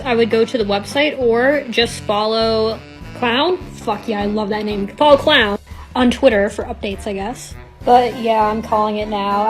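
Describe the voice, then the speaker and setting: A woman's voice: on the high side, poor audio. A woman giving a casual, slangy explainer in the manner of an online content creator.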